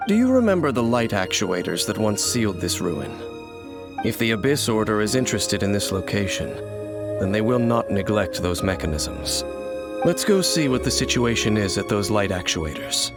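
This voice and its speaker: An actor playing an aloof, world-weary wanderer in a video game, speaking in a measured, formal, solemn manner. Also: male voice, on the low side, sing-song, over loud music. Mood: reflective.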